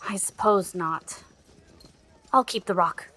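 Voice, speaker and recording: A woman's voice: medium pitch, slow, sharp tone. An actress playing a proud young married frontier woman in an audio drama, curt, formal and assertive. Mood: matter-of-fact.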